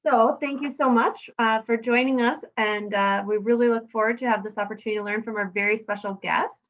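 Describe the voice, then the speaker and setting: Woman, online interview host, higher pitch, enthusiastic delivery, lo-fi video call sound. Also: fairly even intonation, a little fast. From Wisconsin. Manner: effusive, enthusiastic. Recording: dull, lo-fi video call audio.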